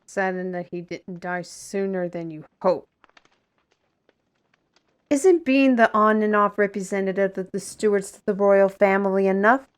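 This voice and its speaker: A woman's voice: mid-range voice, natural voice. A woman playing a defiant, sardonic young artist in a fantasy audio drama, with a pointed, sarcastic delivery. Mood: cold, defiant.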